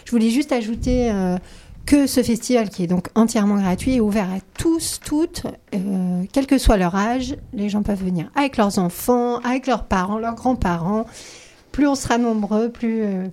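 Female festival organiser, chatty informative radio guest, dark soft tone, mid pitch. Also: moderate pace. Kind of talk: conversational announcement.

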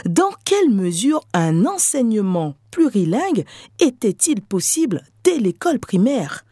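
Dark-voiced woman narrating a podcast. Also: fairly high pitch, sing-song, slightly rough, no room sound, clean studio sound. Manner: measured, storytelling delivery. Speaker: researcher and lecturer.

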